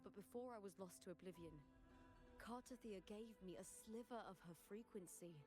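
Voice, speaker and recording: An actress playing a solemn young warrior woman in a game, recounting tragedy in measured, earnest dialogue. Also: medium pitch, natural voice. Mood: somber, reflective. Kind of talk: dramatic scripted game dialogue. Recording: game audio under prominent music.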